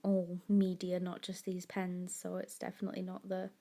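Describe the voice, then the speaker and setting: A woman's voice: lowish voice, completely flat delivery, normal speed, vocal fry, dark tone. A woman, a bit sniffly from a cold, chatting in a rambling, self-correcting way while talking through her drawing. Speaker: art vlogger. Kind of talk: casual chat over a drawing.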